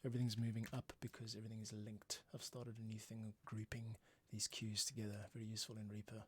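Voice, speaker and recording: A man's voice: on the low side, monotone, quick pace, slightly rough. A man hosting a livestream as a composer, casually explaining and thinking aloud as he works. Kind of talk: casual tutorial while working. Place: small home closet studio. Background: music cues playing.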